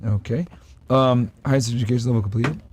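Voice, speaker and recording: A man hosting a casual podcast interview, asking blunt rapid questions and answering with frequent okays. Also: mid-range voice, background noise. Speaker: podcast host.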